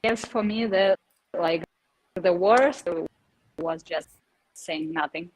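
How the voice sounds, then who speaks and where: A woman telling a casual personal story as a participant, halting and searching for words. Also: on the low side, low-quality recording. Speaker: show participant.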